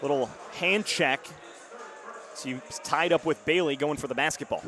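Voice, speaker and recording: A man's voice: fairly high pitch, moderate pace, music in the background. A man in his early twenties calling live basketball play-by-play in punchy, clipped phrases. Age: early 20s. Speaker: radio play-by-play basketball announcer. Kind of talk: live sports commentary. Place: courtside in a basketball arena. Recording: loud arena crowd and court noise. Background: crowd, bouncing basketball.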